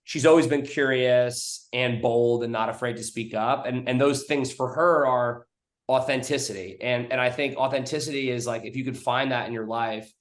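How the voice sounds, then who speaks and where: A man's voice: medium pitch, steady tone, normal speed. A man hosting a podcast in loose casual chat, with frequent restarts and swearing. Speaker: podcast host. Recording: roomy echo.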